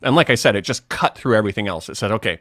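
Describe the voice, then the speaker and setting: A man's voice: on the low side, lively intonation, racing, fairly bright tone, dry recording, clean studio sound. A Canadian man with a mild accent, hosting a casual video chat and rambling with many fillers and restarts. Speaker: host.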